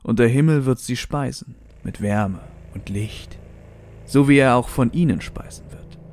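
A man's voice: medium pitch, unhurried, darkish tone, natural voice. A man playing a fanatical scientist who preaches a new cosmic order in a solemn, grandiose way for a horror audio drama. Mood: exalted.

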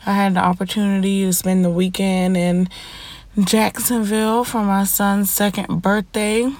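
A young woman hosting her own podcast, talking frankly with many pauses, her voice a little stuffy from allergies. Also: medium pitch, little pitch movement, a little mellow, no echo. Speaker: podcast host. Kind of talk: casual solo podcast monologue.

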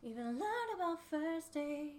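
A woman's voice: sits high, sing-song, measured pace. A woman singing an advertising jingle, recorded with an echoey sound. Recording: echoey, reverberant vocal.

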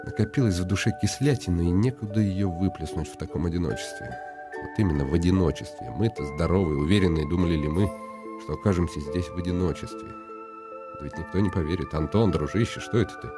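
A man's voice: low-pitched, fast, natural voice. A man playing a weary, ironic nobleman in reflective inner monologue for a radio play. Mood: bitter, lonely. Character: weary, ironic, lonely nobleman, brooding inwardly. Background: music.

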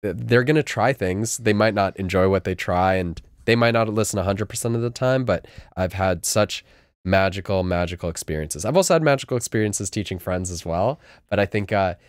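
A man's voice: on the low side, brisk, clear, resonant tone, no room sound, clean studio sound. A man chatting casually in a laid-back, slangy way as an interview guest. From California. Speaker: guest, music teacher. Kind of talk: casual chat.

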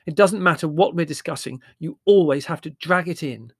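Prim young sister for storybook, acted by a man: raised pitch, scornful, emphatic, easily affronted. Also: moderate pace, a bit bright, no room sound, clean studio sound. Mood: accusing. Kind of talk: audiobook character voice.

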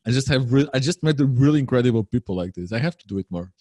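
A man's voice: mid-range voice, lively intonation, fast, dark tone, no room sound. A man speaking as a guest in a casual online chat over a video call, rambling enthusiastically and repeating his phrases.

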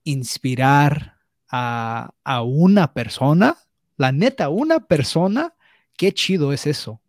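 A man's voice: a little high, big pitch swings, slow pace, no room sound. Candid man, dry sound. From United States. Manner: candid, reflective, hesitant with many restarts and mixed English words. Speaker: podcast co-host. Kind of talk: casual podcast conversation.

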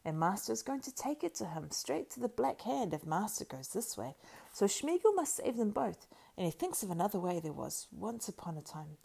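A woman voicing a wretched, cringing male creature who wails and pleads in a shrill, squeaky whisper. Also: big pitch swings, normal speed, vocal fry, dark tone, slightly rough, heavily acted. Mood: whining. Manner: wailing, pleading, singsong.

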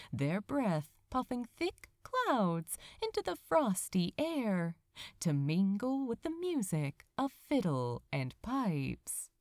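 Female story reader, lively swooping intonation, tender and deliberate, dry close sound. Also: medium pitch, dry recording. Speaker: audiobook-style fan fiction reader. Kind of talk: expressive scripted story narration.

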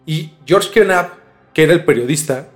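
A Mexican man with a slightly high-pitched voice explains things in a casual podcast chat. He is informal and often repeats words to stress them. Faint music plays behind him. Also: normal speed. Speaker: podcast co-host explaining a case.

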